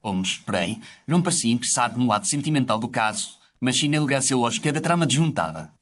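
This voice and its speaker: An actor playing a reflective gentlemanly doctor who narrates his memoirs in formal, literary first-person storytelling. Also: mid-range voice, expressive, fast, lightly acted.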